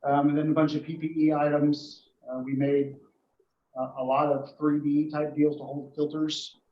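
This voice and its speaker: Man, fairly high voice, halting informal board report, matter-of-fact, echoey room, muffled audio. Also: level tone. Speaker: emergency dispatch official reporting to a board. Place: indoor meeting room.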